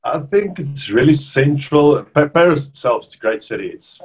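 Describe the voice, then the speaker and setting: A South African man in his thirties, whose first language is Afrikaans, chatting casually over a video call as an interview guest with relaxed restarts. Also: a little high, normal speed, slightly rough. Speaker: rugby player guest. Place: bedroom at home, indoors.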